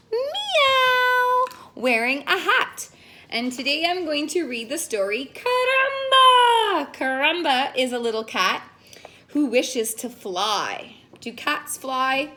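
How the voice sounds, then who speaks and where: A woman's voice: high-pitched, sharp tone. A woman teacher reading a storybook aloud to young children, playful, with simple questions and explanations.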